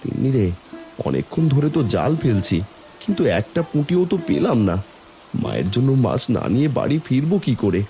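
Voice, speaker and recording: A man's voice: medium pitch, wildly swooping pitch, a little fast, creaky, dark tone, soft background music. A man voicing a poor, devoted village son and father, earnest and humble, in a cartoon folk tale. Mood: worried.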